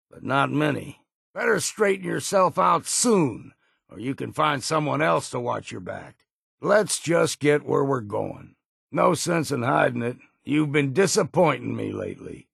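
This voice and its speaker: Gravelly, weary old hunter. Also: male voice, mid-range voice, fairly bright tone, dry recording, studio quality, full character voice. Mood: stern, disapproving. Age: older. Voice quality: gravelly, husky. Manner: folksy, plainspoken, rustic drawl with "ain't". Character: gruff, weary old hunter companion, grumbling and wary. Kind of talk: video game character voice lines.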